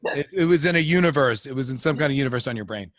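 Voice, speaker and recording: A man's voice: mid-range voice, expressive, racing, slight background noise. A man co-hosting a podcast in casual chat, rambling and joking with many restarts. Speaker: podcast co-host.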